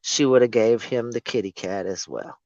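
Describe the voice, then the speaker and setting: A man's voice: mid-range voice, moderate pace, darkish tone, dry recording. A man from the US central region who needles the others in a mock-serious tone and keeps stumbling into restarts. His voice reaches the online chat through a dull, lo-fi line, and the sound is dry. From US Central time zone. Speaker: panel participant. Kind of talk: joking online voice-chat banter.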